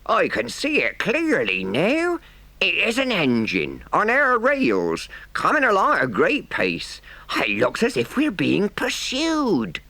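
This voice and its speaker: A man acts out a storybook engine driver, a working man who is stern yet soft-hearted. His talk is plain, folksy and emphatic, with pitch that swings widely. It sounds close and dry. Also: very animated, normal speed, no room sound. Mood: alarmed. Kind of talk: storybook character voice.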